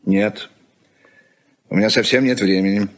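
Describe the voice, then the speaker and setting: An actor in a Soviet-era radio play, playing a busy, touchy middle-aged husband who grumbles and talks in a curt, sardonic way. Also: male voice, fairly low pitch, steady tone, measured pace, natural voice. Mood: curt, businesslike. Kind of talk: Soviet 1980s radio drama acting.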